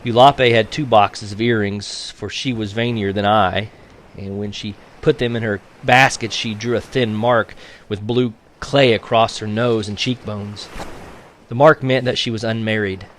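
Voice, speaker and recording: A man's voice: on the low side, fairly even intonation, a little slow. Man reading aloud, some background noise. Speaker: teacher reading a novel aloud to a class. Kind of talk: expressive audiobook-style read-aloud.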